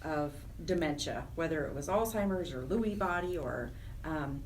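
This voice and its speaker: A woman's voice: deep voice, lively intonation, moderate pace, darkish tone. A middle-aged woman from Wyoming, answering conversationally as a radio interview guest. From Wyoming, United States. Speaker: interview guest, nonprofit program director. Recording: distant mic, noticeable background noise.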